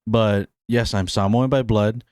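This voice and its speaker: A man's voice: fairly low pitch, steady tone, unhurried, a little mellow, clean tone, dry recording. Easygoing man, dry close sound. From Hawaii. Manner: rambling, friendly, many fillers and restarts. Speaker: podcast host. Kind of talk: casual solo podcast chat.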